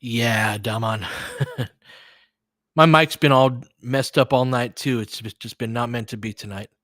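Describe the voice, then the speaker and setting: A man's voice: mid-range voice, measured pace, a bit bright, dry recording, clean studio sound. A man giving informal live-stream play-by-play and commentary, thinking aloud as he chats with listeners.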